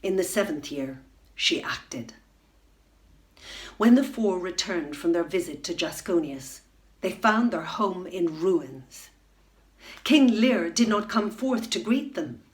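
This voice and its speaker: A middle-aged woman reading a children's bedtime story aloud at home, expressive, into a webcam. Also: on the low side, slow pace. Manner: expressive, rising to loud excitement in dramatic moments. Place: room at home.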